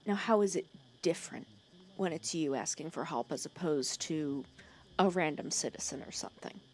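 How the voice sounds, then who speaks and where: A woman playing a protective, teasing female villain who scolds and cares for her hero nemesis in an ASMR roleplay, sarcastic and often dropping to a hush. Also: sits low, normal speed, natural voice. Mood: exasperated scolding. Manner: scolding yet caring, sarcastic, dropping into hushed breathy asides. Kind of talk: ASMR roleplay audio drama.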